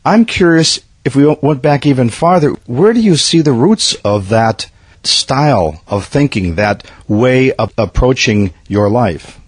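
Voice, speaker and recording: Husky male interviewer, dry sound. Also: medium pitch, expressive, unhurried, dry recording. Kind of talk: thoughtful interview questioning.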